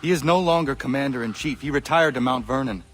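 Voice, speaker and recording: A man's voice: mid-range voice, fast talker, a bit bright, faint music behind, natural voice. Earnest, formal young warrior played by a man. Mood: confused. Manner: formal, careful, avoids contractions. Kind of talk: dramatic video game acting.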